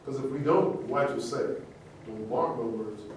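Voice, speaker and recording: A man's voice: medium pitch, normal speed. A man acting in a staged reading of a play, reading his lines from a script. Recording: echoey room, distant mic, faint noise.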